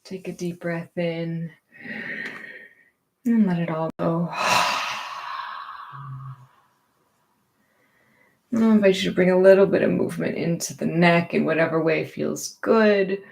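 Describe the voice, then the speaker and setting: A woman teaching gentle movement and meditation in a reassuring, permissive, unhurried manner. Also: lowish voice, steady tone, gravelly.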